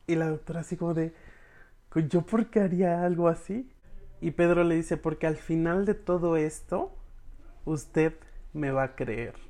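The speaker has a Mexican accent and a medium-pitched voice. They retell a story informally, as if to a group of friends. The delivery is slangy and expressive, with dialogue casually acted out along the way. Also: moderate pace. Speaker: podcast reviewer recapping episodes for followers. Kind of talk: informal storytelling recap, addressing the audience as friends.

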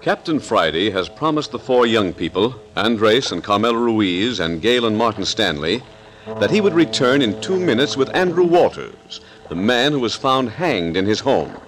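Male suspense serial narrator, animated intonation, measured, dramatic, vintage radio, faint music behind. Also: mid-range voice, close to an everyday voice. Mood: suspenseful. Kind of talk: 1940s radio scripted narration.

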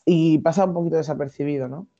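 A young woman from Madrid with a Madrid accent, a podcast guest explaining her ideas thoughtfully, with many pauses and restarts. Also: low voice, steady tone, warm tone, muffled recording. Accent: Madrid Spanish. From Madrid, Spain. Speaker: guest activist. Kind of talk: podcast interview.

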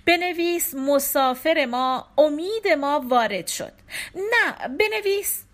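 A woman's voice: high voice, animated, a bit bright, voice pushed up. A woman voicing a distraught young wife grieving her stillborn baby, pleading and tearful. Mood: joyful, excited. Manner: pleading, tearful, rising to cries.

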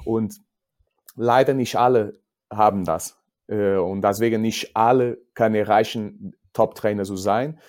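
Man, Portuguese accent, non-native speaker, halting and repetitive, unhurried pace, soft dark tone, podcast guest. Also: fairly low pitch, fairly even intonation. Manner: halting, searching for words in non-native German, repeats himself. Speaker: judo coach, interview guest. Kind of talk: casual podcast interview.

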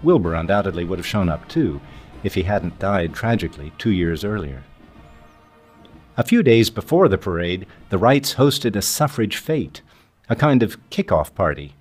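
Male narrator, lowish pitch, articulate scripted documentary read over music. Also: animated, breathy edge. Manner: measured, articulate.

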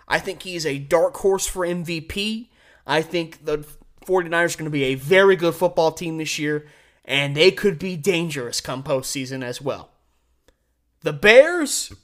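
A man with an American accent and a distinctly high-pitched voice hosts a sports show on his own. He delivers commentary and predictions in a blunt, opinionated way. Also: a bit bright. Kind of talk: solo sports commentary with game picks.

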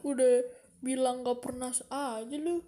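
A young woman with a high voice and a Jakarta slang accent chats through her own podcast. She speaks at an unhurried pace, drifting from thought to thought and arguing with herself as she goes. It has the loose, casual feel of a solo show. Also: steady tone, dark tone. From Bogor, Indonesia. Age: young adult. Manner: rambling, chatty, talks to herself. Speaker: podcast host.

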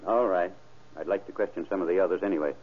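An actor playing a brisk, probing male sheriff in a businesslike old-time radio crime drama, clipped in his questioning. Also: low-pitched, breathy edge. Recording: muffled, band-limited vintage radio recording.

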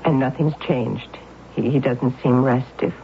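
An actress playing a kindly, fretful older aunt in a 1970s radio drama. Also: female voice, very deep voice, a little slow. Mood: gentle. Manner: warm, fretful, animated. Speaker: supporting character. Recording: tinny radio broadcast with faint hiss.

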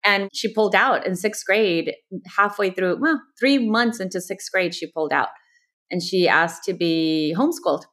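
A woman in her forties from El Salvador, a podcast guest talking candidly in a relaxed interview. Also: mid-range voice, moderate pace. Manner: candid and conversational. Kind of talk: casual interview.

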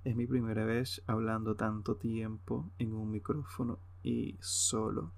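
Gravelly Venezuelan man, hesitant and informal. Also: lowish voice, fairly even intonation, measured pace, a little mellow. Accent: Venezuelan Spanish. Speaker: podcast host. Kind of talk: unscripted solo podcast monologue.

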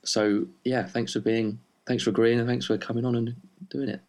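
A man hosting an online interview, asking friendly, halting questions full of ums and restarts. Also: mid-range voice, level tone, darkish tone. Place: remote online recording.

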